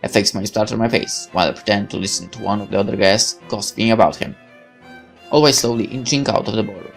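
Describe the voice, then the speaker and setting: A man reading a visual novel's narration aloud in a wry, measured storytelling way. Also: fairly low pitch, vocal fry, faint music behind. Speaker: gaming video narrator.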